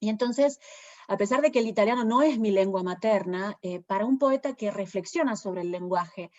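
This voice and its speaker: A woman with an Argentine accent, a poet giving a thoughtful literary talk, pausing often with fillers as she searches for words. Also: mid-range voice, darkish tone, dull audio. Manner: thoughtful, hesitant, many fillers. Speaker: poet and presenter.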